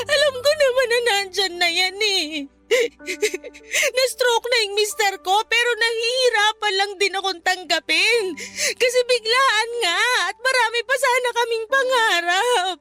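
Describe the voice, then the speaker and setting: Tearful young wife. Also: female voice, extremely high pitch, a little slow, exaggerated voice. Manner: tearful, pleading, breaking into sobs. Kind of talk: radio drama acting. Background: soft background music.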